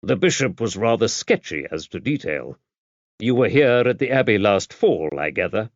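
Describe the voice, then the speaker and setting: A man voicing a calm, tactful investigator, asking measured, polite questions in an even manner. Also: lowish voice, dry recording, lo-fi sound. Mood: politely probing. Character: calm, tactful male investigator, quietly probing and self-possessed.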